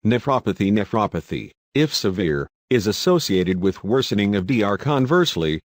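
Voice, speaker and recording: A man's voice: on the low side, very animated, normal speed, dry recording, studio quality. A man from Somalia presenting a scripted educational narration as a health lecturer. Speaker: presenter of an eye health lesson.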